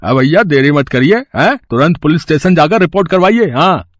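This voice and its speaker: An eager street flower seller from an animated tale, played by a man. His voice sits fairly high and he chats in a friendly, salesman-like way, recorded dry. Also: normal speed, no echo, natural voice. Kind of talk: animated moral story voice acting.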